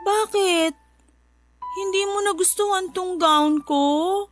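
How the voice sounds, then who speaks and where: Shrill, overwrought jilted bride. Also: female voice, takes it slowly, soft background music. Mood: manic, needy. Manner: overwrought, pleading, wailing. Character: distraught, unstable jilted bride, a young mother. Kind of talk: Tagalog radio drama acting.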